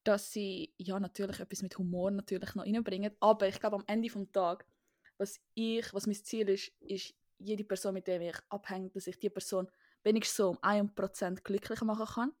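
A young woman in her twenties co-hosts a relaxed chat at a middle pitch. She is playful and drops English phrases into her talk. The recording is dry and close. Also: moderate pace, dry recording. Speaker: podcast co-host. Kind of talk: casual chat.

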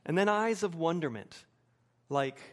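An American man in his forties preaching a sermon with personal anecdotes, measured, with emphatic pauses and repeated phrases. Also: fairly high pitch, lively intonation, fairly bright tone. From United States, suburban Wilmington. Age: 40s.

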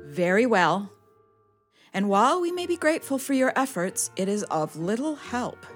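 Casting: wise badger abbess, formal and authoritative, medium-pitched voice, children's audio drama with faint music. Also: female voice, sing-song, normal speed, slightly rough. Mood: dignified, grave. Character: wise, dignified female badger abbess, calm leader.

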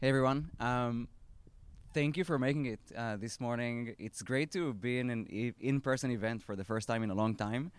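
A man in his thirties with an Israeli accent speaks as a founder at a live event, pausing often with fillers and restarting his phrases. His voice is smooth and clear, with a dry, close sound. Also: mid-range voice, no room sound. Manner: halting, many fillers and restarts. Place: outdoor startup event.

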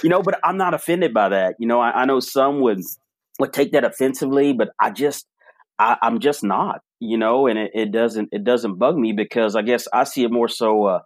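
A man talking as a podcast guest, telling stories in a relaxed, animated way with frequent restarts. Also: medium pitch, normal speed, no room sound. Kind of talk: casual storytelling interview.

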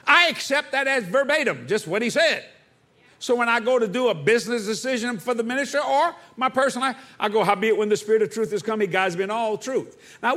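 Preacher man, high bright voice, call-and-response sermon, quizzes the congregation. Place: church.

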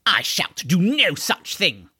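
A man's voice: high voice, very animated, slow, sharp tone, no echo, studio quality, pitched above the performer's own voice, exaggerated voice. A man voicing a haughty, spoiled, lanky horseman who sneers in pompous mock-archaic speech for a storybook. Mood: sulky.

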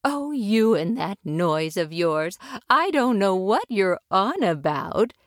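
A tiny, very old storybook lady, as a woman narrator puts her on. She is gentle, dotty and chatty, speaking slowly in a dry, close recording. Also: mid-range voice, big pitch swings, dry recording. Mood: mildly fussing.

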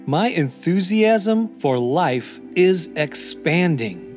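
A man reading scripted motivational affirmations as a podcast host, in a deliberate, encouraging manner. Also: sits high, expressive, darkish tone. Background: new-age music.

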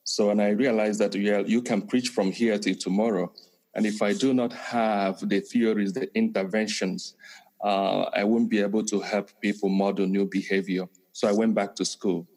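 A man from Nigeria with a Nigerian accent, a priest and counseling professor answering interview questions in a measured way with many pauses. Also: lowish voice, fairly even intonation, a little mellow, low-quality recording. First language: Igbo. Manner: measured, many pauses and fillers, light laughs.

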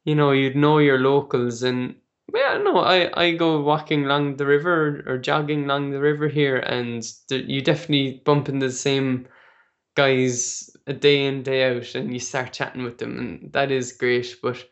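An Irish man hosting a podcast, asking questions in a relaxed, unhurried way with frequent hesitations. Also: medium pitch, muffled recording. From Ireland. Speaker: podcast host. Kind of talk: casual interview chat.

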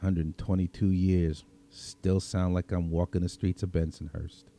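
Man playing a very dark-voiced, deep Brooklyn vampire. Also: flat delivery, a little slow. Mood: reminiscing. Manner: streetwise, conversational, brooding. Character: world-weary vigilante vampire from Brooklyn, looks twenty-six. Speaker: story reader. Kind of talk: first-person scripted story narration.

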